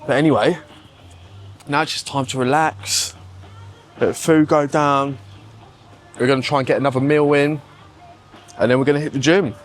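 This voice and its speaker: A man's voice: mid-range voice, steady tone, moderate pace, long pauses, faint music behind. Chatty man vlogging. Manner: chatty, blunt, swears casually. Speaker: fitness vlogger. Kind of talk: casual vlog chat.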